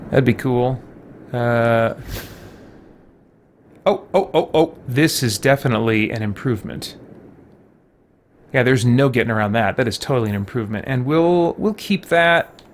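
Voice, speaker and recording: A man's voice: medium pitch, expressive, measured pace, pauses often, slight background noise. A man chatting casually as a game streamer, thinking aloud in an informal, rambling way. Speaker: streamer playing and commenting on a video game. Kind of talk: casual live game commentary chat. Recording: game music behind the voice. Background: video game music.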